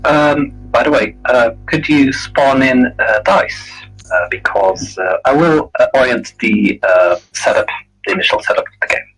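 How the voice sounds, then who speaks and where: A man setting up and explaining a board game, halting with many fillers. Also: medium pitch, a bit bright, breathy edge, rough recording. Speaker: game referee.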